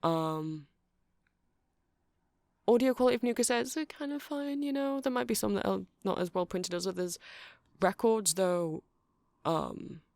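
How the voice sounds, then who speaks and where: A man playing a nerdy, friendly vampire who keeps a music shop, rambling gently and hesitantly in a casual ASMR roleplay, with a creaky, soft-spoken voice. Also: high-pitched, expressive, unhurried, pauses often, no echo, studio quality, full character voice. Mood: helpful, hesitant.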